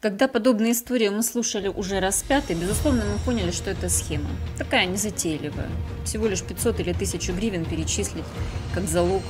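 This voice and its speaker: Woman narrating over loud music. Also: medium pitch, fast talker. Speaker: news report narrator. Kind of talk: scripted narration.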